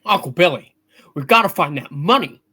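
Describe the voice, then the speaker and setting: An earnest, anxious young businessman in a radio play, played by a woman. The voice sits on the low side for a woman and moves up and down a lot. It comes across as harried, urgent and determined. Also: expressive, moderate pace, sharp tone, breathy edge, exaggerated voice. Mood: desperate. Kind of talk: hammed-up radio play reading.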